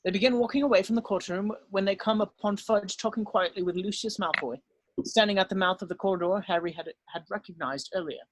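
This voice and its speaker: A man's voice: high voice, fast, poor audio. A man reading stage directions as narrator in a screenplay table read.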